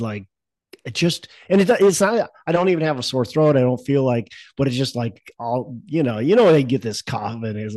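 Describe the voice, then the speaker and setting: A man's voice: medium pitch, darkish tone, dry recording. Stuffy-nosed male podcast host, dry sound. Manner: rambling, with many fillers and restarts. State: stuffy nose and cough. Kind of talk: casual conversational chat.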